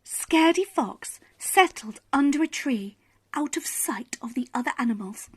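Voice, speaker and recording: A woman reading a children's bedtime story expressively, with dramatic pauses and emphasis. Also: high-pitched, lively intonation.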